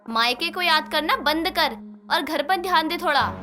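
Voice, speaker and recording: Woman acting a high, stern old mother-in-law. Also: lively intonation, moderate pace. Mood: scolding. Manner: sarcastic, scolding, bossy. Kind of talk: animated moral-story voice acting. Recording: music bed behind the voice.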